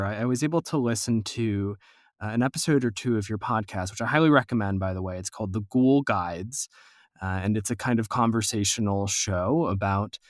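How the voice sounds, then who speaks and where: A man's voice: medium pitch, dry recording. American man hosting, very dry sound. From United States. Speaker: podcast host, interviewer. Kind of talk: conversational interview.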